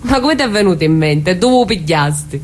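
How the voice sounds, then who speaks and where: Actress as animated, dreamy younger sister. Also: female voice, lowish voice, fairly quick, slight background noise, natural voice. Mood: playful. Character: dreamy, teasing younger sister, quietly defiant. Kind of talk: naturalistic radio drama dialogue.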